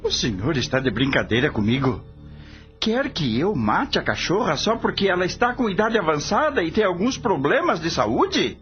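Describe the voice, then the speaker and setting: An actor playing a kind, principled veterinarian in a radio drama, husky-voiced, earnest and expressive. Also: male voice, a little high, normal speed. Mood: indignant, firm. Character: kind, principled male veterinarian and devoted son. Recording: dull lo-fi audio, music behind.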